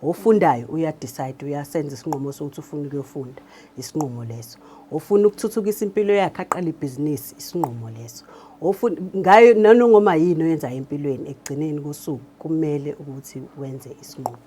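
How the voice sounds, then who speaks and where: A woman's voice: low-pitched, fast, mellow, slight background noise. A woman with a South African accent explaining her work in an interview, code-switching as she goes. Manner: explanatory, switches between Zulu and English. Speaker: radio drama director. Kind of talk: interview talk.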